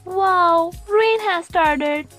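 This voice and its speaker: A woman voicing a lively, knowledgeable young cartoon guide who explains things expressively to a child. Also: very high voice, drawn-out words, soft background music, full character voice. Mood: playful. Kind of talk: scripted children's educational cartoon dialogue.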